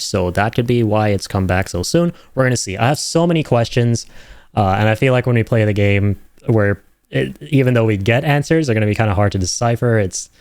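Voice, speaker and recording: A man's voice: fairly low pitch, a little mellow, smooth, no room sound, clean studio sound. A young man hosting a casual reaction, enthusiastic and rambling, with lots of fillers and "right?" tag questions. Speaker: host reacting to game trailers.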